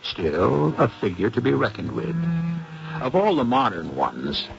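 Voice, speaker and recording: A man hosting a radio mystery show, giving dramatic scripted narration in a portentous, deliberate manner. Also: mid-range voice, huge pitch swings, gravelly. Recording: dull old radio recording.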